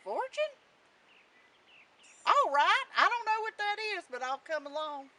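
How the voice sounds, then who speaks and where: A woman's voice: very high voice, very animated, unhurried, bright, forceful tone, recorded from a distance, pitched above the performer's own voice, heavily acted. A woman voicing a decrepit, worried old rooster in a storybook tale, crowing and speaking shrilly in rural dialect.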